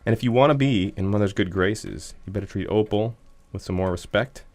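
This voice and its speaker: An actor playing a cautious, put-upon son of a rich family in an audio drama, placating and exasperated in manner. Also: male voice, lowish voice, a little fast, darkish tone, natural voice.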